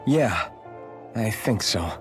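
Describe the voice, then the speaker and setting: A man's voice: lowish voice, lively intonation, slow pace. A man playing an earnest, idealistic young hero in a video game cutscene, sincere and questioning. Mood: earnest, resolved. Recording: music behind the voice.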